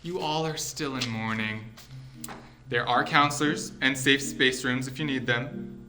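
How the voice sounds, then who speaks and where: Goofy, smug male grad-student teacher, acted by a man: drawn-out wry delivery, mid pitch, roomy echo. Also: steady tone, music in the background, close to an everyday voice. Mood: smug, playful. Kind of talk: comedy acting, addressing a classroom. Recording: echoey room.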